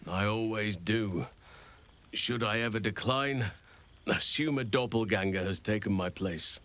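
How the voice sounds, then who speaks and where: An actor playing a gentle, wise old druid man in a fantasy video game, speaking in formal, measured, earnest sentences. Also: lowish voice. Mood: affectionate. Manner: formal, measured, eloquent speech.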